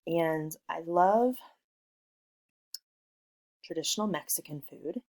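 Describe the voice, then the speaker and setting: A woman from Missouri with a notably low voice, teaching a lesson she recorded at home. She talks in a friendly, unhurried way with plenty of ums as she explains things to her students. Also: low-quality recording. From Missouri, USA. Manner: friendly, relaxed, with frequent ums. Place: working from home.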